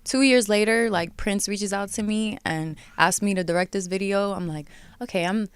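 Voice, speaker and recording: A woman in her twenties from Miami, chatting casually as an interview guest, relaxed and laughing, with many filler words. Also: mid-range voice, moderate pace, smooth, dry recording. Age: 20s. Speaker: guest artist.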